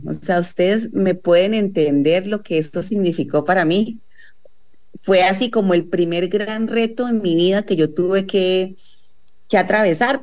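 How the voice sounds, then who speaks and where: A woman's voice: mid-range voice, a little mellow. A woman in her thirties, a guest on a radio talk show, chatting and telling stories with lots of fillers. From Colombia, Medellín. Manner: chatty storyteller, many "o sea" fillers. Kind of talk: casual interview chat. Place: radio studio.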